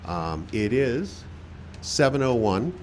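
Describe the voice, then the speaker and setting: A man's voice: mid-range voice, sing-song, slow pace, a little mellow, slight background noise. A man hosting a live radio show outdoors, speaking like a showman with informal asides. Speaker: host and announcer. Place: outdoor park stage.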